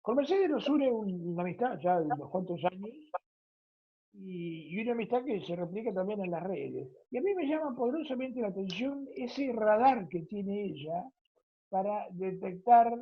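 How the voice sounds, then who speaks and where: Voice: mid-range voice, expressive, moderate pace, husky, lo-fi sound. An interviewer with an Argentine accent, hosting a conversational radio interview. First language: Spanish.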